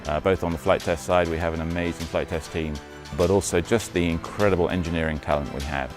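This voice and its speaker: A man speaking as a company spokesman in a promotional clip, proud and earnest, with pauses. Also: low voice, fairly even intonation. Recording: clip with music behind.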